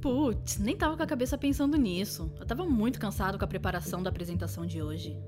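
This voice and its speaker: A woman's voice: medium pitch, fast talker, music in the background, close to an everyday voice. An actress playing a blunt, loyal, no-nonsense inner voice, a Brazilian woman speaking in slangy conversational audio drama style. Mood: gossipy.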